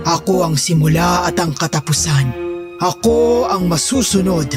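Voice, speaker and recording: A man's voice: high-pitched, measured pace, creaky, music in the background, pitched above the performer's own voice. A man voicing a pious, commanding faith healer who claims divine power, solemn and declamatory, in a horror story.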